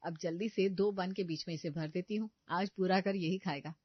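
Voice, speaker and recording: A woman's voice: on the low side, fairly even intonation, normal speed, breathy edge, dry recording, dull audio. Woman acting a smug, scheming young daughter-in-law. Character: scheming, self-satisfied young daughter-in-law cutting corners in the kitchen.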